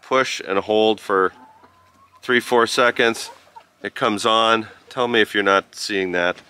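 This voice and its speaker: A man with a lowish, forceful, bright voice talks through a product in a casual, halting way. He is recorded outdoors on a farm, with light ambient noise and clucking chickens behind him. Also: fairly even intonation. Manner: halting, informal, step-by-step explaining. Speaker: product reviewer and vlogger. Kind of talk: casual unscripted product review to camera.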